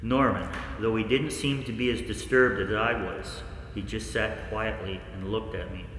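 A deep-voiced, haunted scientist played by a man tells of cosmic horror in a 1940s radio play style. His delivery is dramatic and deliberate, ringing through a reverberant hall over faint noise. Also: fairly even intonation, fairly bright tone, close to an everyday voice. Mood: haunted, brooding.

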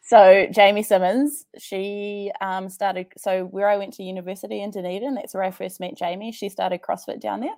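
A woman's voice: mid-range voice, little pitch movement, moderate pace, few pauses, a little mellow, dry recording, studio quality. A young woman with a New Zealand accent, chatting as a podcast guest, often laughing and restarting her sentences. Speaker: podcast guest, CrossFit athlete. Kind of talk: casual interview chat.

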